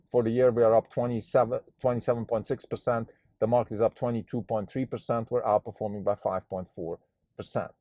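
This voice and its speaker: A man's voice: medium pitch, warm tone, dry recording. A Swiss-born man whose first language is German, hosting a solo podcast and talking thoughtfully with frequent fillers and restarts. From Switzerland. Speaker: podcast host and fund manager.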